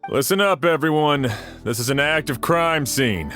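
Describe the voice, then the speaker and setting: A man's voice: on the high side, normal speed, a bit bright, faint music behind, lightly acted. An actor playing a stern, authoritative male guard in a video game. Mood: commanding.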